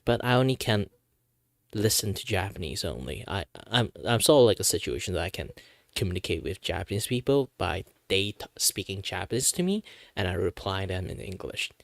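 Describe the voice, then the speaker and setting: Malaysian man, Mandarin-native, fairly low voice, casual streamer chat, rambling with fillers, dry close sound. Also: lively intonation, a little fast, creaky, dry recording. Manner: rambling, chatty, many fillers. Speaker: VTuber streamer.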